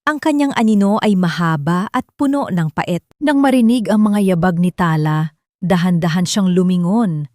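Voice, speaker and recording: A woman's voice: fairly low pitch, moderate pace, a little mellow, dry recording, clean studio sound. A woman narrating a scripted story in a dramatic storytelling style.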